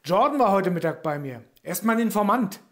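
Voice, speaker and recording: A man's voice: high voice, sing-song, a little fast, fairly bright tone. An actor playing a deferential male doctor who feigns naivety in an audio drama. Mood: deferential, probing.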